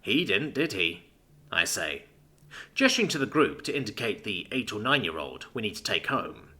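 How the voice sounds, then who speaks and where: A man reading a first-person science fiction story in an animated way and acting out the characters. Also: fairly low pitch, moderate pace, husky. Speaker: narrator.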